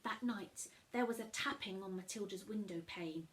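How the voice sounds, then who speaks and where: A woman reading a storybook aloud to children as a teacher would, animated and emphatic, talking directly to young listeners. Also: mid-range voice, normal speed, a little hiss or hum.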